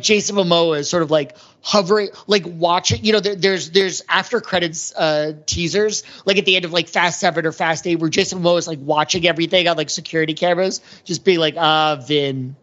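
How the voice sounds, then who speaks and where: A man's voice: sits high, a bit bright. A slightly muffled, lo-fi recording of a man co-hosting a podcast. He talks casually and analytically, working through his ideas aloud as he goes. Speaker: podcast co-host. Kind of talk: casual pop culture discussion. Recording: slightly dull, lo-fi audio.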